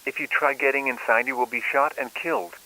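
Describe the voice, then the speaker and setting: Guy doing a grim official emergency alert voice, sharp and forceful, sounds like its on the radio. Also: medium pitch, brisk, poor audio. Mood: stern, official. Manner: stern, flat, official delivery. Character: grim male government emergency alert announcer. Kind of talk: scripted emergency broadcast announcement.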